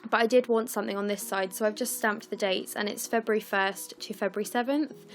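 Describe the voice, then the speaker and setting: A young woman from the UK, croaky and scratchy, chatting through her scrapbooking as a casual voice-over, with lots of ums and apologies. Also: medium pitch, steady tone, fairly quick, soft background music. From United Kingdom. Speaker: scrapbooking vlogger. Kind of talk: casual process video voice-over.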